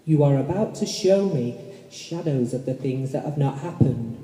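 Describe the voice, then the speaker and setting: A man playing a frightened, pleading old miser in a radio play, with slow, dramatic and formal delivery. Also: medium pitch, animated, dark tone, lightly acted. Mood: fearful, respectful. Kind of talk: amateur stage radio play acting. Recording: echoey hall with long reverb.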